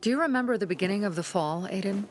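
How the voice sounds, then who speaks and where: A woman's voice: mid-range voice, expressive, fast, slight background noise, close to an everyday voice. A woman voicing a determined young female resistance leader in a video game, speaking earnestly and resolutely. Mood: reflective. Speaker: settlement leader.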